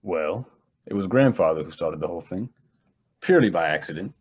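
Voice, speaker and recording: A man acting a privileged young heir in a radio drama, polite, measured and matter-of-fact, with a husky voice. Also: sits low, lively intonation.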